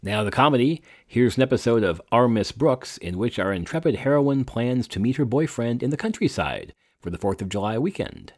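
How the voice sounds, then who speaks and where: A man's voice: medium pitch, animated, a little fast, few pauses, darkish tone. A man reading a scripted introduction as a podcast host.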